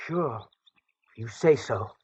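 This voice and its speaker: A man's voice: mid-range voice, lively intonation, slow. A man playing a naive, agreeable young prospector with an American accent and hesitant, folksy manners in an old radio western. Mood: hesitant, obliging. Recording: dull lo-fi old radio recording.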